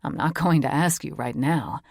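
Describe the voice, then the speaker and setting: Woman doing a teasing young boyfriend, low voice, dry close sound. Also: normal speed, vocal fry, a little mellow, husky, dry recording, exaggerated voice. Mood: tender. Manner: teasing, earnest, swears casually. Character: young man, playful devoted boyfriend. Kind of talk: audiobook character voice.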